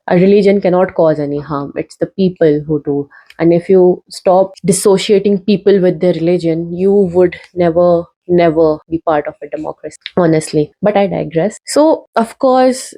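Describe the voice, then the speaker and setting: A young woman with an Indian English accent makes an earnest, casual video essay to camera, with fillers and pauses as she urges viewers. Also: lowish voice, dark tone. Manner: earnest, persuasive, many fillers. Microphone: talking to camera.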